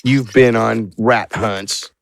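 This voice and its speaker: A man acting as game master, narrating an improvised tabletop game in a halting, conversational, jokey way. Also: on the low side, fairly even intonation, creaky, slight background noise.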